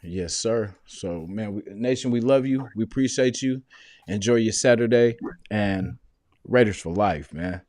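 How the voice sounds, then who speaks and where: A man's voice: fairly low pitch, a little slow, darkish tone, dry recording, clean studio sound. A man hosting a fan podcast, laid-back and thanking his guests. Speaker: podcast host. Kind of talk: casual podcast sign-off.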